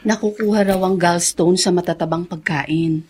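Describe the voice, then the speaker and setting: A woman acting in a Filipino radio drama as a gentle, worried wife and dutiful daughter, with an emotional, hesitant delivery. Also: fairly low pitch, fairly even intonation, moderate pace, breathy edge. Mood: gentle, concerned.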